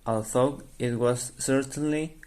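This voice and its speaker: A man's voice: mid-range voice, fairly even intonation, measured pace, a little mellow. A man reading scripted story narration as the narrator of an amateur radio drama.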